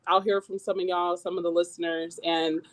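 A woman guest on a radio talk show with a squeaky voice, speaking earnestly as a community organizer, with many fillers and restarts. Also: little pitch movement, brisk. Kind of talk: radio interview.

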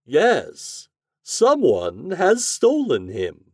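Man putting on a fretful wizard, pitched up and drawn out. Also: huge pitch swings, very slow, a little mellow, breathy edge, no room sound, clean studio sound, full character voice.

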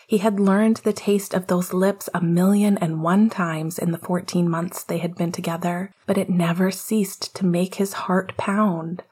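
Woman reading a story out loud, kinda low and husky, soft gentle delivery. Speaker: podfic reader. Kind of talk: scripted fiction narration.

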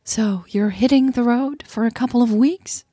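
A woman voicing a lovestruck, anxious woman in an audio romance, husky and restrained. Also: on the high side, normal speed, dark tone, no echo, studio quality, voice pushed up, lightly acted. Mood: anxious, curious. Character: lovestruck, anxious woman hiding her worry, Leah.

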